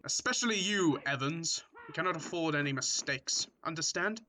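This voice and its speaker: A man's voice: on the high side, animated, moderate pace, sharp tone, slight background noise. A man acting a stern, short-tempered First World War officer in a radio drama, barking orders and scolding. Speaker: actor in a student radio drama.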